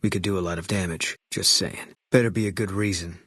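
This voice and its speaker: An actor voicing a grim, haunted ex-soldier sniper in a video game, talking in terse, clipped lines with a flat, brooding delivery. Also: male voice, low-pitched, a little fast, husky, dry recording, studio quality, full character voice. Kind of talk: video game character dialogue.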